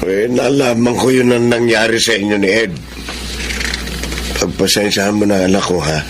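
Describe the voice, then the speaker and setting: Male, low pitch, acted middle-aged smooth talker, conciliatory, coaxing, radio drama, noisy background. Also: fairly even intonation, normal speed, natural voice. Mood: apologetic. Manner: apologetic, coaxing. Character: conciliatory, wheedling older widower-type suitor and father. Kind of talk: Tagalog radio drama acting.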